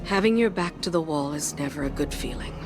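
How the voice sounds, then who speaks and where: A weary, guilt-laden advisor. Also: female voice, sits low, expressive, music in the background. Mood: regretful. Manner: measured, earnest briefing.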